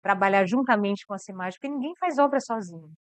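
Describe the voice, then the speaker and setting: A woman's voice: medium pitch, fast, clear, resonant tone, dry recording. A woman from Brazil, a missionary guest, talking earnestly about her mission work and repeating words as she thinks.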